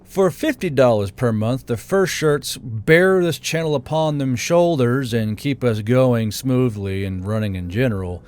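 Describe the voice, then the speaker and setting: A man's voice: medium pitch, lively intonation, unhurried, hardly any pauses, darkish tone. A man narrating and hosting a self-produced fiction audiobook channel. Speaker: narrator and channel host, amateur author. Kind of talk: audiobook narration and informal member shout-outs. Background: background music.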